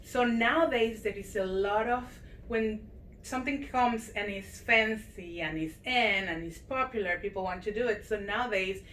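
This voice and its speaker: Woman host, medium pitch, asks long rambling questions in an echoey room. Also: moderate pace, a bit bright, a little hiss or hum. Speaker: show host and realtor. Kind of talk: interview host.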